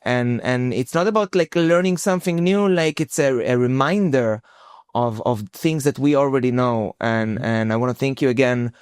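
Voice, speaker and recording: Smooth-voiced podcast host, effusive and chatty. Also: male voice, medium pitch, moderate pace, a little mellow, dry recording, clean studio sound. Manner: friendly, effusive, with many likes and you-knows. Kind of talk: casual podcast interview.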